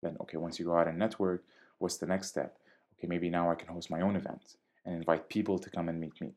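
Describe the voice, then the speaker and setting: Deep-voiced man, measured and articulate. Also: level tone, darkish tone. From Syria. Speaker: DJ and guest. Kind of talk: podcast interview.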